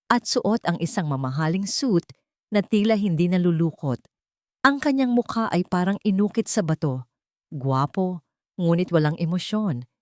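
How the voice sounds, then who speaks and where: Female narrator, low pitch, dramatic scripted storytelling, solemn, deliberate phrasing, dry recording. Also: a little mellow, no room sound.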